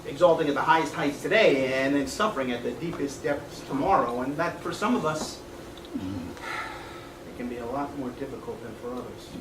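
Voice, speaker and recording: An actor playing a weary, regretful middle-aged man in a live stage play, speaking in a reflective, halting, defensive way. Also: medium pitch, close to an everyday voice. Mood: reflective, brooding. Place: small theatre stage, indoors. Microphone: far camera. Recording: noisy, echoey theatre stage from far off.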